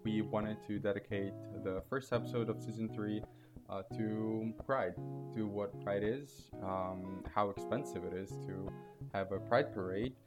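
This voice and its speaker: A man's voice: fairly low pitch, steady tone, measured pace. A young man hosting a podcast, asking friendly questions in a casual way. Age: young adult. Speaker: podcast host. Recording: dull sound with faint guitar music.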